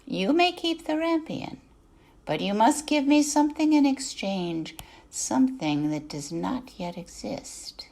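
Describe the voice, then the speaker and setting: Storybook witch: a woman plays an older, possessive woman, imperious, pitched up, creaky. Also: very animated, measured pace, a little mellow, full character voice. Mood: bargaining, firm. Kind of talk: storybook reading.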